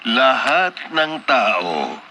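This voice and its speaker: An actor playing a stern, wise middle-aged father in a radio drama, admonishing and measured, with a Filipino accent. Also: medium pitch, sing-song, pushed and bright, a little hiss or hum, exaggerated voice. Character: stern, wise father of a spoiled grown daughter. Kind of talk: Philippine radio drama acting.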